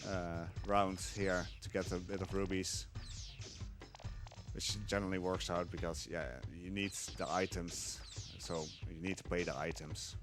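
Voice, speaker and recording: A man's voice: low-pitched, flat delivery, unhurried, over music. A man with a Dutch accent giving casual live commentary on his speedrun, explaining as he plays.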